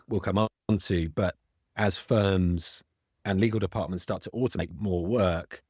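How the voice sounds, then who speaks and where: A man's voice: sits low, a little mellow, no echo, studio quality. A middle-aged man with a British accent, a podcast guest who explains at length, often restarting on small words. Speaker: guest, legal tech founder. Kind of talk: podcast interview.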